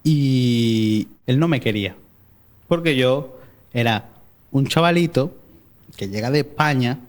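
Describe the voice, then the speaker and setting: A young man speaks with a Málaga accent tinged with Mexican. He tells his personal faith story as a podcast guest in an easy conversational way, often pausing and restarting his sentences. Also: medium pitch. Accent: Málaga Spanish with Mexican traces. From born in Mexico, raised in Spain. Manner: chatty, storytelling, frequent hesitations and restarts.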